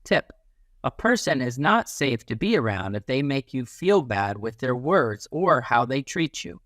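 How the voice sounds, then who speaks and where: A man reading a children's picture book aloud as a teacher, in an expressive, instructive manner. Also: mid-range voice, measured pace, dry recording, studio quality. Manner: animated, instructive, acts out little sounds and quotes.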